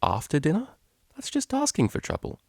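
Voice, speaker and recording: A man's voice: on the high side, wildly swooping pitch, brisk, gravelly, a little mellow, no echo, clean studio sound, voice pushed up, full character voice. A man voicing a prim, polite and naive young female cellist pony in a storybook reading, with formal, proper diction.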